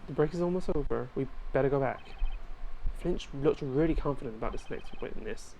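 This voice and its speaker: A woman's voice: a bass voice, fairly quick, vocal fry, dark tone, a little hiss or hum. A woman voicing a young male defense lawyer who speaks earnestly and a little hesitantly.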